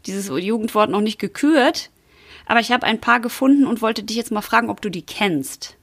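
A woman's voice: a little high, lively intonation, moderate pace, breathy edge. Playful middle-aged woman hosting a podcast. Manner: playful, slips into a mock rapper tone. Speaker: podcast host. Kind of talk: casual podcast chat.

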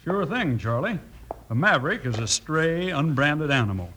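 An actor playing a folksy, good-natured bunkhouse cowboy in an old radio cereal advertisement. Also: male voice, a little high, sing-song, normal speed, noisy background.

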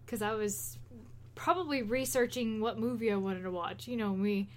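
A woman's voice: medium pitch, moderate pace, a little mellow. A woman co-hosting a podcast, chatting casually and retelling stories in an informal, rambling, conversational way. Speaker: podcast co-host.

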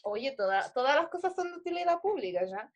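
A woman's voice: high-pitched, very animated, fairly quick, dull audio. A Chilean woman co-hosting a podcast in casual chat, slangy and joking, laughing often. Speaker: podcast co-host.

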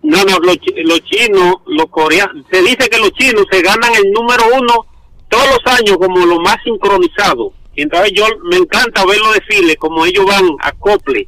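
A man, talking casually on the phone in a halting, repetitive, defensive way. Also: high voice, sharp tone, low-quality recording. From Dominican Republic. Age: 50s. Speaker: prank call victim.

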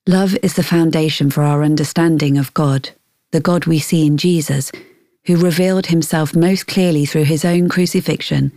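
Low, mellow woman reading. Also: vocal fry, no room sound, clean studio sound. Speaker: podcast host and devotional reader. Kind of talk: scripted devotional narration.

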